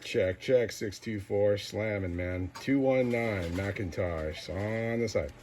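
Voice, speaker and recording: A man's voice: on the low side, slow pace. A man working as a CB radio operator, calling out clipped, repeated callsigns and slang. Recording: loud radio static and hiss. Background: loud radio noise.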